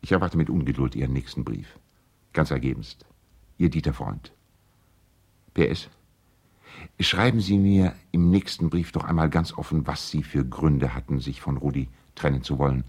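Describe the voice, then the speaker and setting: A man's voice: extremely low pitch, normal speed, a little mellow, husky, heavily acted. An actor playing a courtly, concerned lawyer and family friend, reading his letter emphatically in a radio play. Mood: soothing, diplomatic. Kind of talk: 1970s German radio play, letter monologue.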